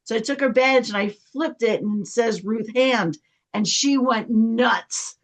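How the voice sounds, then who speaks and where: A high-voiced woman chats through a personal story as a guest. She is casual and talkative, slipping into quoted back-and-forth as she retells it. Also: unhurried. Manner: chatty, reenacts dialogue when retelling. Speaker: guest telling an anecdote. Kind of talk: casual animated anecdote telling.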